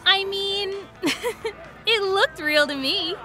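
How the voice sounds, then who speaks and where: Giddy, awed teen girl, played by a woman, high and slow-spoken, music behind, audio drama. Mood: awed, hesitant. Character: giddy, awed teenage party girl dressed as witch.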